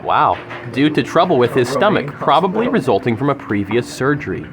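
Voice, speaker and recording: A man's voice: mid-range voice, big pitch swings, a little fast. A young man hosting a comic news segment with mock-formal, facetious delivery. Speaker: podcast segment host. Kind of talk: mock news anchor banter. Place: sushi restaurant. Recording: busy restaurant chatter behind.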